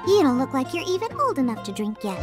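High-pitched grumpy little cat-girl. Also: very animated, fast. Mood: scornful. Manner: huffy, scolding, indignant. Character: small grumpy cat-girl bartender, young, proud and scornful. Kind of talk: anime-style video game dialogue. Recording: background music under the dialogue.

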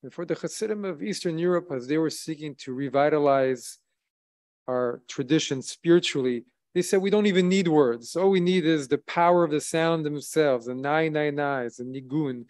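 A man in his thirties, a rabbi, delivering a sermon from a synagogue lectern with measured, solemn emphasis. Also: fairly high pitch, darkish tone. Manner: measured, solemn preaching with rising emphasis on key phrases. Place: synagogue sanctuary at a wooden lectern, indoors. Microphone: lectern microphone, streamed by video.

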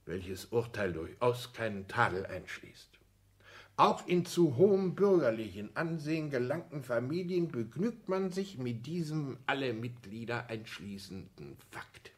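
Pompous, courtly count. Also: male voice, mid-range voice, sing-song. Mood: pompous, instructive. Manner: pompous, ornate, deliberate formal speech. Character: courtly aristocratic count and father, condescending, old-school. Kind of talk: 1960s German radio play acting.

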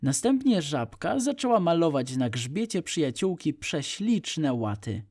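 A man's voice: fairly high pitch, very animated, unhurried, darkish tone, no echo, clean studio sound. A man narrating an audiobook story for young children.

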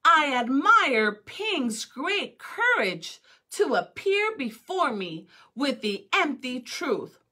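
A woman voicing a grand, solemn male emperor from a storybook in a loud, slow proclamation. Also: sits high, sing-song, pitched above the performer's own voice, heavily acted. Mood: admiring, grand.

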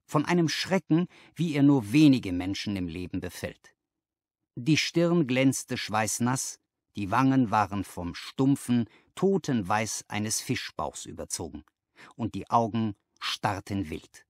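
Expressive male audiobook narrator, animated pitch. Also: unhurried, dry recording, clean studio sound. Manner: expressive storytelling, careful phrasing. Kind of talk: scripted dramatic literary narration.

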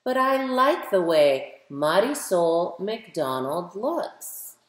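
A middle-aged American woman reads to children in a slightly low voice. Her pace is slow and deliberate, with expressive emphasis and direct questions to her young audience. The recording has the clear echo of a room at home. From United States. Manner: animated, emphatic storytelling, addressing young listeners directly. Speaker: children's author reading aloud. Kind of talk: picture-book read-aloud for children, with chatty asides. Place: medium living room at home, indoors. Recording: roomy, echoey home recording.

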